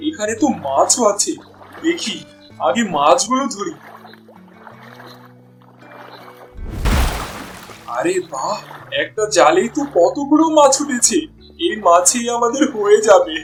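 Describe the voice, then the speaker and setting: A poor village fisherman acted by a man with a high male voice, a devoted family man. He speaks in a plaintive, exclaiming folksy way, as in a cartoon folk tale, over background music. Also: lively intonation, moderate pace. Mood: amazed. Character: poor, weary village fisherman, loving father and husband. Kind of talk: cartoon voice acting.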